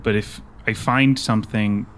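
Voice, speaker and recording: A man's voice: fairly low pitch, fairly even intonation, measured pace, slight background noise, natural voice. A man roleplaying a caring investigator who speaks hesitantly and carefully. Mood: hesitant, concerned.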